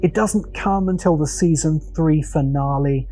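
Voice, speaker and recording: A man narrating a scripted video essay with deliberate, dramatic phrasing. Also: on the high side, warm tone, soft background music.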